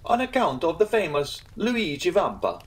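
Man acting a high-pitched, excitable Italian innkeeper. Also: normal speed. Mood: alarmed, insistent. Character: excitable, easily piqued Italian innkeeper, earnest and proud.

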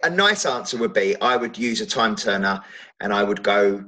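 A man's voice: lowish voice, animated, normal speed, pushed and bright. A man talking casually on a video call, repeating words for emphasis. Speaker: stage actor on a panel. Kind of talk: casual panel talk.

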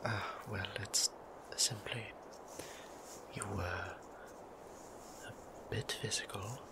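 A man voicing a gentle, formal male vampire priest in an ASMR roleplay, whispering with courtly, old-fashioned politeness. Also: on the low side, steady tone, slow pace, noisy background. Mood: tender.

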